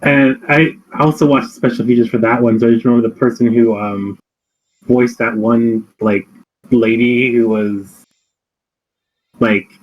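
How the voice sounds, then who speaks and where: A man's voice: medium pitch, steady tone, unhurried. Young man telling stories on dull audio. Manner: filler-heavy storytelling, laughs often. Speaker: podcast host. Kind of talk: casual chat.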